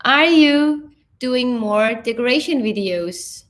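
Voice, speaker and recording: A woman's voice: fairly high pitch, lively intonation, slow pace. Teasing Danish woman in her twenties. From Denmark. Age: 20s. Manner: teasing, blunt. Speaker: vlogger co-host of a livestream. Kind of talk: casual livestream chat, reading comments.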